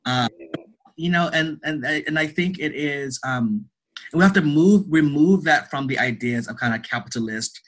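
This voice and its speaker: Guy getting interviewed about his art, bright punchy voice, super earnest, repeats stuff for emphasis. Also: on the high side, moderate pace, low-quality recording. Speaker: guest, theatre director and artist. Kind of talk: conversational interview answers.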